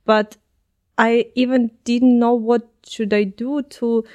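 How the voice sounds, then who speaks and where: Smooth, even-toned woman. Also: fairly high pitch, slow. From Ukraine. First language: Ukrainian. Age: 20s. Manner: many ums and uhs, non-native phrasing. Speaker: podcast guest. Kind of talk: casual interview answers.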